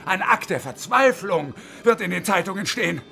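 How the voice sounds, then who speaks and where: A bitter middle-aged engineer turned gunman in a radio play. His bright, forceful voice sits fairly high and swings widely as he sneers and gives orders, with music behind him. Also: animated, normal speed, vocal fry, exaggerated voice. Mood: defiant, bitter. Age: 50s. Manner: sardonic, domineering, theatrical. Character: bitter, sarcastic unemployed engineer turned armed hostage-taker. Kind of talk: radio drama acting.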